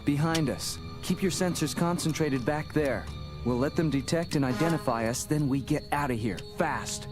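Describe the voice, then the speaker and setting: An actor playing a young, earnest rebel starfighter pilot in a space adventure audio drama, with a boyish, keen manner. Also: male voice, a little high, moderate pace, over music, natural voice. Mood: calm, assured.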